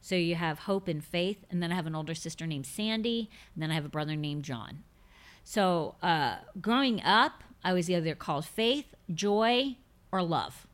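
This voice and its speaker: A woman's voice: fairly low pitch, animated, normal speed. A woman in her fifties hosting a faith podcast, chatting casually and emphatically as she answers listener questions, often repeating words to drive a point home. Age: 50s. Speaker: podcast host.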